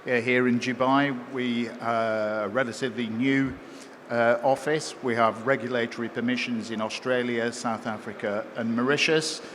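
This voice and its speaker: A man, likely middle-aged, introducing himself as a CEO on an expo panel in a measured, polite way. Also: mid-range voice, fairly even intonation, fairly bright tone. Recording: reverberant hall with faint noise.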